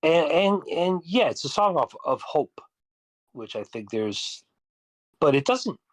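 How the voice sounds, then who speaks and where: A man's voice: on the high side, very animated, slow, no echo. A man chatting reflectively as a songwriter, hesitant and rambling, often repeating his words as he goes. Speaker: songwriter and musician. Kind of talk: casual reflective discussion.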